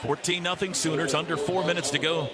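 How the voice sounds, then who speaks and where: A man doing live radio play-by-play of a football game in clipped phrases that build into excited calls. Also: on the high side, a little fast. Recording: loud stadium noise behind the broadcast voice. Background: stadium crowd and ambience.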